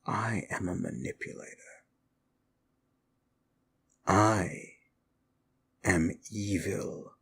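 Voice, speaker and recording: Man playing a low, slow, sly demon. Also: a bit bright, breathy edge, heavily acted. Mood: sly, brooding. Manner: slow, teasing, condescending. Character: sly, evil male demon, a taunting manipulator. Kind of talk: intimate ASMR audio roleplay.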